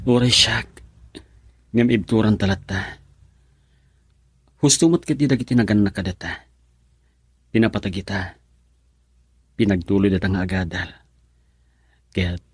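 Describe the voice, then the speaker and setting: Earnest young boyfriend with husky voice. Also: male voice, fairly low pitch, moderate pace, pauses often, dry recording, clean studio sound. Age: young adult. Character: affectionate, earnest college-age boyfriend. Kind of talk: Ilocano radio drama acting.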